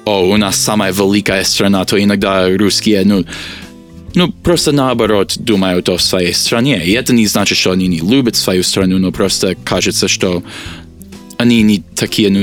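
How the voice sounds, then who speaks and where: An American man, a teacher whose first language is English, answering interview questions in a halting way with many fillers. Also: sits low, faint music behind. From United States. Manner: hesitant, many fillers, searches for words. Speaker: guest, teacher.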